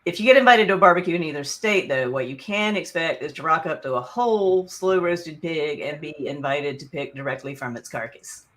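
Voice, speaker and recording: A woman from South Carolina hosts a relaxed library talk show. She sounds playful, laughing easily between her lines. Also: low voice, brisk, few pauses, slight background noise. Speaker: host. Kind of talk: casual chat, library show.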